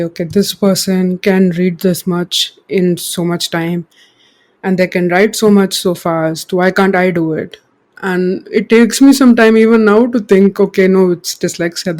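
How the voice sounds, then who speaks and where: A woman's voice: medium pitch, darkish tone. A woman, speaking as a podcast guest in a reflective, hesitant way, with many fillers and restarts. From India. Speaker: guest author. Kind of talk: podcast interview.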